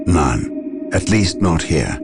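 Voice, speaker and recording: A grave, scholarly space marine in a game cutscene, with a very deep voice. He is solemn and deliberate yet varied in melody, set against music. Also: expressive, exaggerated voice. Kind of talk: dramatic video game cutscene acting.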